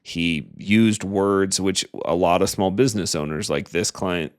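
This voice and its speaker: A man's voice: sits low, measured pace, smooth. A man co-hosting a podcast, talking casually and colloquially about his work. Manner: colloquial, acts out imagined quotes. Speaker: podcast co-host and digital marketer.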